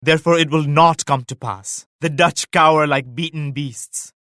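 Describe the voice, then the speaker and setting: An actor playing a devout, solemn emperor and military leader in a strategy game, with measured, grave, pious declamation. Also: male voice, a little high, steady tone, sharp tone, no room sound, clean studio sound. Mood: grave, regretful. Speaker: video game character voice actor. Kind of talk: scripted strategy game voice lines.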